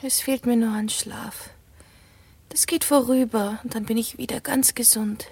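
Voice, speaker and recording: An actress playing a melancholy, ailing young gentlewoman who tells her gothic story in a radio drama. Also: fairly high pitch, steady tone, measured pace, vocal fry, slightly rough, exaggerated voice. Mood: languid, subdued. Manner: hushed, languid delivery. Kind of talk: German radio play acting and first-person narration.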